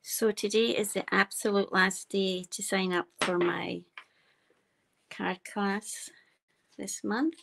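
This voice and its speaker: A woman with a soft, quiet voice gives a relaxed, chatty card-making demonstration from her craft desk. Her voice is strongly gravelly with vocal fry, and her pitch sits in a middle range. She walks through each step casually as she works. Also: slow pace, low-quality recording. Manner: chatty, explaining steps while working.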